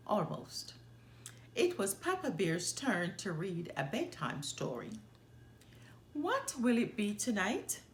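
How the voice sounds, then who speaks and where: A woman reads a children's storybook aloud in a highly animated, expressive way. Her delivery is slow and deliberate, with some creak in the voice. The room adds a noticeable echo. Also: slight background noise. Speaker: storytime host reading aloud. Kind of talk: children's picture book read-aloud.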